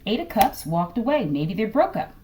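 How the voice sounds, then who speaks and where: Woman giving a lively, musing tarot reading. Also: moderate pace, very noisy. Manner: musing, repeatedly addresses listeners as collective, trails off low at line ends. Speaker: tarot reader. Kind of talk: casual tarot reading to a general audience.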